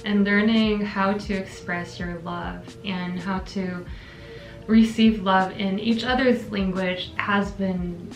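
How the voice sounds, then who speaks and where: A woman's voice: medium pitch, steady tone, slow pace. A young woman from Korea hosting a casual relationship Q&A, answering thoughtfully and reading viewer questions aloud. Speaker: channel host. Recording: echoey room with background music.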